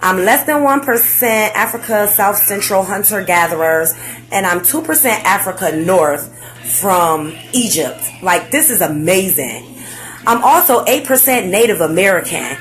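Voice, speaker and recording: An excited young woman talks casually into her home computer mic, full of slang and filler words. Her voice sits at an ordinary middle pitch. Faint music plays in the background. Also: unhurried, low-quality recording. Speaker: vlogger. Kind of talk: casual facebook live chat.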